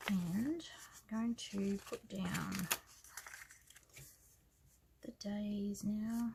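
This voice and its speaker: Australian woman, croaky scratchy voice, gravelly, unhurried, soft musing asides, faint hiss behind. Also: medium pitch, steady tone. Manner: soft-spoken, musing, trails off into quiet asides. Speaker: planner vlogger. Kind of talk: casual planner walkthrough.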